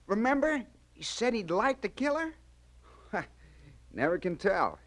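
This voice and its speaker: An actor playing a terse, folksy, matter-of-fact police sergeant and sidekick in a mid-century radio crime drama, full of slang. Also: male voice, high-pitched, big pitch swings, measured pace, a bit bright, heavily acted. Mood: knowing.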